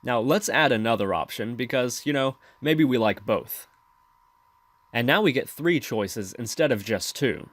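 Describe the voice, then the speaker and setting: A man's voice: medium pitch, expressive, a little slow, a bit bright, dry recording. Male tutorial narrator, instructional scripted read, dry close-miked sound. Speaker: tutorial host.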